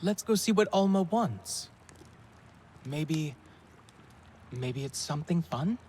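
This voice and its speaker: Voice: mid-range voice, animated, takes it slowly, darkish tone, husky. A performer playing a hesitant, cautious young alien protagonist in a cinematic video game. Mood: casual.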